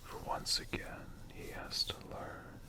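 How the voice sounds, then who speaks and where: A storyteller whispering a tale for ASMR in a hushed, breathy voice with slow, dramatic pauses. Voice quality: breathy whisper with creaky edges.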